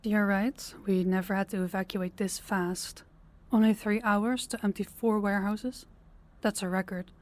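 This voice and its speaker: A woman's voice: mid-range voice, steady tone, moderate pace, lightly acted. A woman playing a composed, commanding crime boss in a scripted audio drama, businesslike and authoritative. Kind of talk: scripted audio roleplay acting.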